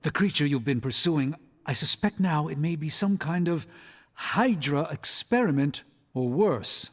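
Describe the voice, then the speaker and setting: A learned doctor hero in a video game, fairly high for a male voice. He is formal, articulate and skeptical, with a long reverb around his words. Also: lively intonation, measured pace. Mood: skeptical, urgent. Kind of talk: video game character acting.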